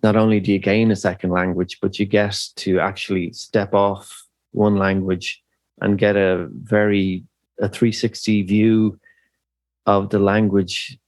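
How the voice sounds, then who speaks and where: A middle-aged Irish man with a very deep voice talks slowly through his thoughts, stopping and restarting often with fillers. The sound is dry and close, with no room around it. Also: flat delivery, a little mellow, dry recording. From Ireland. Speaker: musician guest. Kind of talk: reflective podcast interview.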